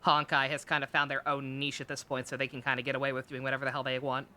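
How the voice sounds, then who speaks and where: A woman's voice: extremely low pitch, monotone, rapid-fire, pushed and bright, a little hiss or hum. A woman live streaming a game with casual, candid commentary, rambling and stammering, with frequent swearing. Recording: game music behind the voice.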